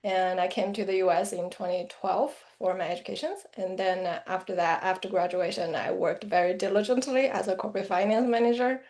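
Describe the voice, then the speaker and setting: A woman in her thirties with a Chinese accent explains her views as an interview guest. Her voice sits at a typical middle pitch for a woman, and she talks in an earnest, reflective manner. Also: steady tone, fairly quick, hardly any pauses, a little mellow. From central China. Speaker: financial planner, guest. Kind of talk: interview answers.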